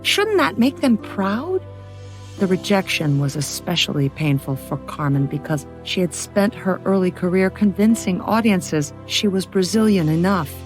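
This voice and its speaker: A woman reading a scripted biography for children as a narrator, with expressive, dramatic storytelling. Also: on the low side, measured pace, faint music behind.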